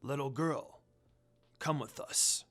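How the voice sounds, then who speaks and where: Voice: mid-range voice, slow pace, fairly bright tone, voice pushed down. A reader plays an old monk from an anime tale. He is stern and impatient, giving curt commands. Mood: coaxing.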